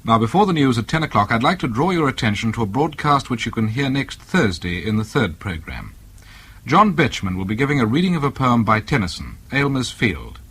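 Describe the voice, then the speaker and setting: A male radio continuity announcer with a received English accent. His mid-range voice is husky yet animated, delivering formal scripted notices. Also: mid-range voice, a little fast. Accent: English, received pronunciation.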